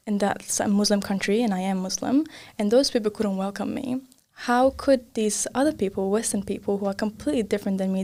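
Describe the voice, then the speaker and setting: A young woman from Afghanistan, a podcast guest speaking reflectively in casual conversation and often laughing softly. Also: medium pitch.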